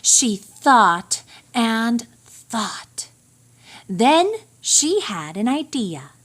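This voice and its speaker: Lady reading a kids picture book out loud, really slow n careful, voice goes up and down lots. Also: on the high side. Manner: expressive, deliberate storytelling for young children. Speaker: storybook reader. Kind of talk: children's picture book read-aloud.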